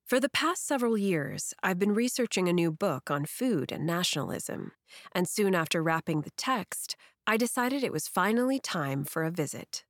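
A woman narrates a first-person essay for an audiobook in a silky, fairly low voice. The delivery is scripted and measured in phrasing, and the recording is dry and close. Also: sing-song, no room sound.

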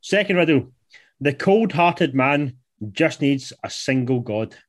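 A man's voice: on the high side, animated, slow. A man, hosting a casual podcast chat and reading out riddles, laid-back and sweary. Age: 20s. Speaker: podcast host.

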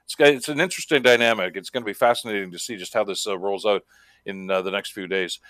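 A man's voice: on the low side, quick pace, a bit bright, no echo. A man with a Canadian accent hosting a radio talk show, interviewing in a conversational, wordy and rambling way.

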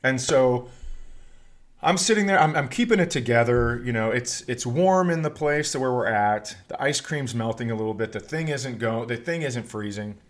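A man's voice: medium pitch, lively intonation, moderate pace. A middle-aged man and podcast host telling casual comic stories in a rambling, profane way.

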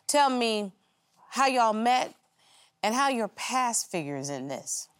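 A woman's voice: fairly high pitch, very animated, slow pace, clear, resonant tone. A woman presiding as a television court judge, speaking in a firm, direct, questioning manner. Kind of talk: courtroom arbitration.

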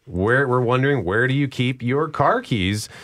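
A man's voice: medium pitch, lively intonation, normal speed, a bit bright. A man with a Canadian accent hosting a radio show, bantering and reading items aloud.

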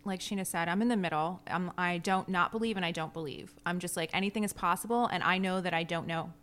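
A woman's voice: fairly low pitch, fairly even intonation, fast talker, a bit bright. Open-minded woman co-host. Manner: open-minded, explaining with examples. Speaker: podcast co-host. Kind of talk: casual chat.

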